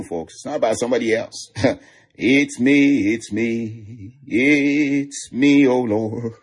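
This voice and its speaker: A man with a Nigerian accent preaching an online sermon, laughing softly between lines and repeating declarations. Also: mid-range voice, breathy edge. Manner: chuckles often, repeats declarations.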